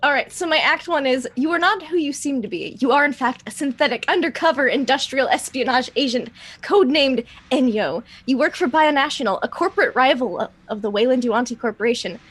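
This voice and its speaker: A woman player in a tabletop roleplaying game, chatting casually and reading out notes in an animated, jokey way. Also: high-pitched, fairly quick. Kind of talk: casual post-game chat with read-out notes.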